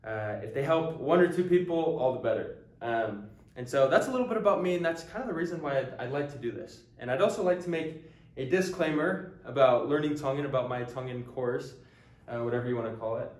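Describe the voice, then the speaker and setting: A man's voice: medium pitch, animated, fairly quick, roomy sound. A young man in his early twenties from the United States, giving an earnest, casual introduction to a language course with frequent ums and restarts. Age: early 20s. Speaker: language teacher. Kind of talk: casual talking-head introduction.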